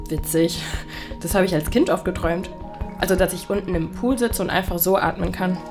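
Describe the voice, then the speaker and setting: A woman's voice: fairly low pitch, a little fast, close to an everyday voice. A woman playing a chummy, upbeat young best friend in a naturalistic web drama. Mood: playful. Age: young adult. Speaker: friend filming on a phone. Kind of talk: naturalistic web series acting. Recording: loud music behind the voice. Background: music.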